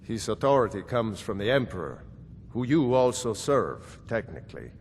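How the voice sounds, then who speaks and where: Tactful court steward, echoing hall. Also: male voice, sing-song. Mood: dry, resigned. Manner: measured, deferential. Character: patient, tactful, dry middle-aged court steward. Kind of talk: fantasy game character acting. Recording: reverberant hall, faint rumbling noise.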